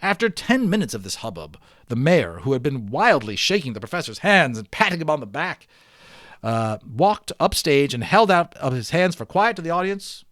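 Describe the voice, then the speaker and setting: A man reading a storybook aloud in an expressive, dramatic way, with a folksy, casual manner as host. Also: on the high side, moderate pace, no room sound, clean studio sound. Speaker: reader and host of a read-aloud series.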